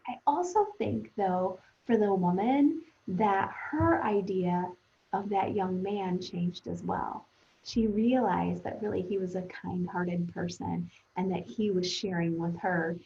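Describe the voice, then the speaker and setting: A woman teacher thinking aloud for young pupils in a conversational, expressive read-aloud lesson, speaking to them directly. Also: medium pitch, dull audio.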